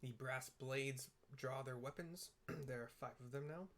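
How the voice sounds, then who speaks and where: A man casually describing a video game's cutscenes as they play, in a relaxed way and often correcting himself. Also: mid-range voice, a little slow. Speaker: let's play narrator. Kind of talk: casual commentary on a game.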